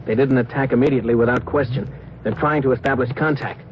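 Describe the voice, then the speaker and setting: Eager, quick-talking young space officer played by a man. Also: medium pitch, natural voice. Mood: earnest, hopeful. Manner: deferential, excitable. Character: eager, earnest male junior spaceship officer. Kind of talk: 1950s radio drama acting. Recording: muffled old radio recording, faint noise.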